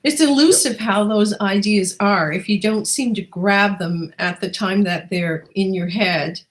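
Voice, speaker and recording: A woman's voice: mid-range voice, unhurried. A woman interviewing in a casual, conversational way, encouraging and affirming.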